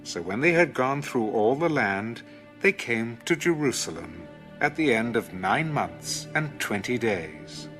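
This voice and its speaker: Male narrator, scripted audio Bible, slow, animated intonation, measured, musical backing. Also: mid-range voice, soft background music.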